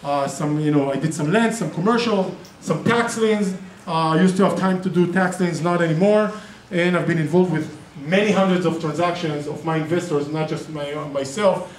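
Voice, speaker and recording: A man's voice: a little high, moderate pace, hardly any pauses. Israeli man presenting in an echoey room. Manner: chatty, self-interrupting, joking asides. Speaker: real estate investing presenter and group organizer. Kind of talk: live seminar presentation. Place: meeting room with projector. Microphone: far camera. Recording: roomy echo, distant camera, faint noise.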